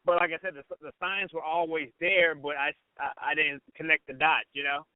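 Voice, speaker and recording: A man's voice: on the high side, little pitch movement, moderate pace, dry recording, phone-quality sound. A man talking casually as an interview guest, informal and repetitive, often saying "you know" and "man". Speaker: radio personality, interview guest. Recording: band-limited radio phone-in sound.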